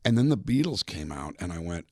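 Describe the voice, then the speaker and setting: An older man with a very low, deep voice recorded close and dry, with no room sound. He tells relaxed stories as a singer-songwriter guest and slips into the voices of people he quotes. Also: a little fast, no room sound. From California, US (Mexican border town). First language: bilingual English and Spanish. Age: 70s. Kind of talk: casual interview chat.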